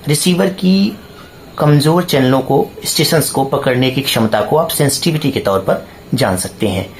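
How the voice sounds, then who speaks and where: A man's voice: medium pitch, slight background noise. A man with an Indian accent giving an explanatory tutorial point by point, in a didactic way, posing questions and answering them himself. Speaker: presenter of a radio hobby tutorial.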